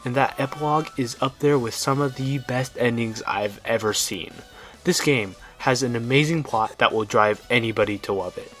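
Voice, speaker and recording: A man's voice: mid-range voice, normal speed, fairly bright tone. A man narrating a scripted video game review in an enthusiastic, opinionated way. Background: background music.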